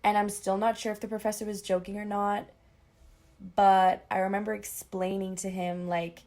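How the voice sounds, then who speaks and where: A woman from Spain recounting a memory from a university class in an even storytelling way. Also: medium pitch, normal speed. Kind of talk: personal account.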